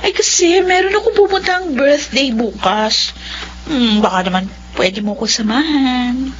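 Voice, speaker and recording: High-pitched, coy, scheming young woman. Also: expressive, measured pace, slight background noise. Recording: muffled lo-fi drama audio with music.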